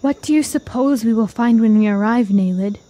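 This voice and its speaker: A woman's voice: on the high side, normal speed, warm tone, natural voice. An actress playing a reluctant, comfort-loving woman traveler in a fantasy radio drama, fretful and plaintive. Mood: uneasy.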